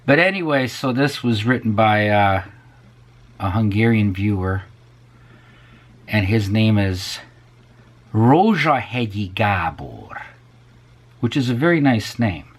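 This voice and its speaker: Low, husky man, slow and animated. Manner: musing, often drops to a near whisper. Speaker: vlog host. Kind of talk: casual rambling monologue to viewers.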